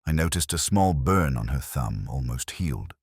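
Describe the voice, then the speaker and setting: A man playing a melancholy, literary police detective, recounting a case in a measured, solemn, reflective way as scripted audio mystery narration. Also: rumbling low, very animated, vocal fry, husky, dry recording, clean studio sound, heavily acted. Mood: brooding, reflective.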